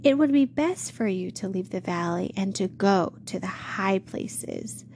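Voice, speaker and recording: A woman reading a gentle, kindly shepherd. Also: medium pitch, expressive, vocal fry, slight background noise. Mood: tender. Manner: slow, gentle reassurance. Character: gentle, kind male shepherd, calm and reassuring.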